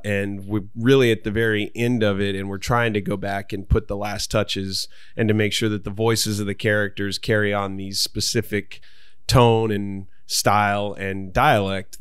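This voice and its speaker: A man's voice: lowish voice, clear, resonant tone, no echo, studio quality. A man hosting a podcast, chatting casually and rambling as he searches for words. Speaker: podcast host.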